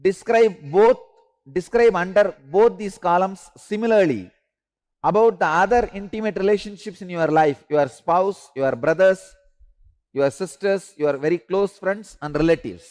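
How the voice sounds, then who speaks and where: Spiritual teacher, male, high-pitched, slow repeated instructions, emphatic rises. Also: darkish tone. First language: Tamil.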